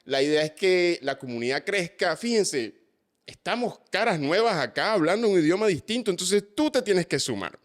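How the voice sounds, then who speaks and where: A Venezuelan man in his young adult years hosts a relaxed chat with a guest. His voice sits a little high and swings widely in pitch as he talks. He jokes and addresses viewers directly. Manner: animated and chatty, with jokey asides. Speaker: host. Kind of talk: casual interview chat.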